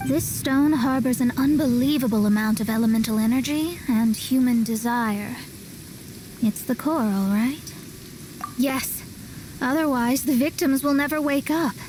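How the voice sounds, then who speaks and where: A woman's voice: high voice, unhurried, darkish tone, slight background noise, low-quality recording. A woman playing a proud, hot-tempered young astrologer in a video game, theatrical and self-assured. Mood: thoughtful.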